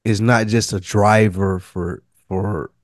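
Casting: young adult male podcast co-host, deliberate, thoughtful, recorded dry and close. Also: on the low side, fairly even intonation, no echo. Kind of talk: casual chat.

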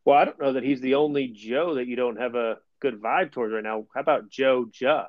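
A man's voice: medium pitch, brisk, no echo, lo-fi sound. A man hosting a sports podcast, easygoing and wry as he runs through scores and stats. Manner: easygoing, wry, stat-heavy. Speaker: podcast host. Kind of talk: casual sports chat.

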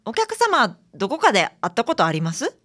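A woman's voice: fairly high pitch, fairly quick, heavily acted. A woman playing a friendly, casual young detective's assistant with a Kansai accent in a radio drama. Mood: curious. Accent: Kansai Japanese. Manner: casual, familiar, animated. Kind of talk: community radio drama acting.

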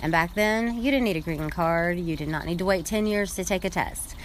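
A woman telling a family story in an earnest, halting way, with many fillers. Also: lowish voice, slight background noise. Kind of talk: casual spoken storytelling.

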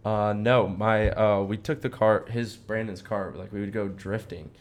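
A young American man with a fairly low voice talks like a podcast co-host among friends. His delivery is casual and slangy, with "like", "dude" and "bro" scattered through loose personal storytelling. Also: steady tone, unhurried, slight background noise. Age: young adult.

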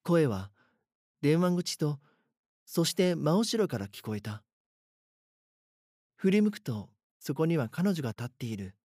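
An actor playing a frightened schoolboy who narrates a horror audio drama in an earnest, dramatic way. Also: male voice, fairly high pitch, big pitch swings, measured pace, pauses often, warm tone, husky, dry recording, clean studio sound, heavily acted. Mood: tense, hushed. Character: frightened schoolboy haunted by his doppelganger. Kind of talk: first-person scripted horror narration.

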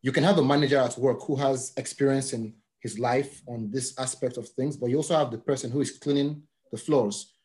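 A man hosting a casual interview, whose first language is Yoruba, talking in a relaxed, friendly way and often repeating himself with fillers. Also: mid-range voice, a little fast, hardly any pauses. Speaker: podcast host.

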